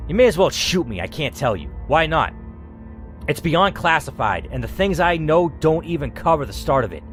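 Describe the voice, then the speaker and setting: A man's voice: on the high side, very animated, normal speed, soft background music. Man reading as a bitter reclusive IT worker. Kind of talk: reading a story game aloud.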